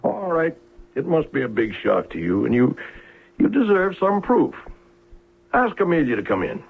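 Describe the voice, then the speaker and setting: Gravelly, commanding older inventor. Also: male voice, on the high side, very animated, full character voice. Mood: insistent. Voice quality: gravelly, raspy. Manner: theatrical, commanding, deliberate. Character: bitter, proud older male inventor and uncle, coldly scheming. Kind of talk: old-time radio drama acting. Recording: lo-fi, muffled old radio broadcast.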